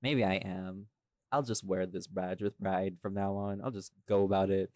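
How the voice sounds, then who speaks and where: A man's voice: deep voice, fairly even intonation, normal speed, a little mellow, dry recording, clean studio sound. A man chatting casually in a rambling way, often interrupting himself and repeating phrases. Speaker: podcast guest, voice actor. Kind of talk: casual chat.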